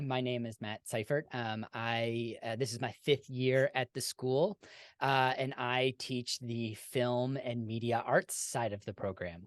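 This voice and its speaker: A man's voice: medium pitch, no echo, clean studio sound. A man who teaches film, introducing himself casually over a video call, easygoing and hesitant with uhs.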